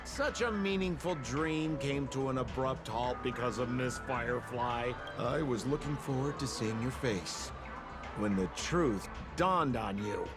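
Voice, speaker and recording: An actor playing a flamboyant, teasing trickster in a video game, theatrical and mocking, with a smugly cryptic, insinuating manner. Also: male voice, medium pitch, unhurried, close to an everyday voice. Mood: mock disappointment. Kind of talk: video game character dialogue. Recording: background music under the voice.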